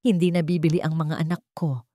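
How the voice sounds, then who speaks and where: A woman's voice: sits low, level tone, a little fast, warm tone, no room sound, studio quality. A woman voicing a frightened but defiant mother in a radio drama, firm and protective with forced bravery. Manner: pleading firmness, forced bravery. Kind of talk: Tagalog radio drama storytelling.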